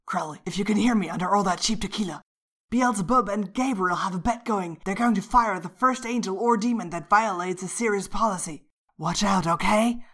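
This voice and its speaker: A woman's voice: medium pitch, a bit bright, natural voice. An earnest minor demon played by a woman in an audio drama. The words come in an urgent rush, plain and insistent. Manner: rushed, urgent. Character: Eric, hurried, earnest minor demon giving warnings. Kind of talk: audio fan fiction character acting.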